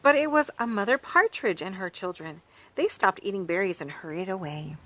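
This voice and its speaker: A woman reading a children's picture book aloud on a farm, gently pausing to point out the pictures to young listeners. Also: medium pitch, low-quality recording. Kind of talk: storybook read-aloud for children.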